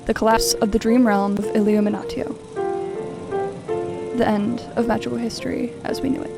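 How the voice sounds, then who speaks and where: Woman acting a creaky-voiced, even-toned archivist. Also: fairly high pitch, over loud music. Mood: solemn, official. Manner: precise, measured, bookish. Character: meticulous, formal female archival investigator recording a report. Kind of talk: scripted audio drama narration.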